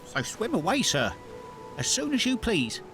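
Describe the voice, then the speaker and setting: Simple humble fisherman, high voice, slow drawn-out delivery, fairy tale radio play. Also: over music, heavily acted. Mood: flustered. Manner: theatrical, drawn-out, expressive. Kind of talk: full-cast fairy tale audio drama acting.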